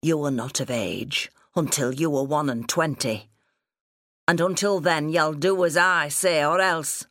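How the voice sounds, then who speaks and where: A woman's voice: low voice, a little slow, a bit bright, dry recording, studio quality. A woman voicing a stern, gaunt farmer father in an audiobook, with a growling, sarcastic manner and rustic dialect. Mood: threatening. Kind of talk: audiobook character dialogue.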